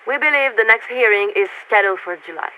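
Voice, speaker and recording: A woman playing a poised, politely bureaucratic military signaler in a video game, speaking formally and in measured phrases through a radio filter. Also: on the high side, expressive, bright, forceful tone. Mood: official, firm. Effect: radio transmission filter. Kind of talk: scripted video game character dialogue. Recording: narrow radio band with static noise.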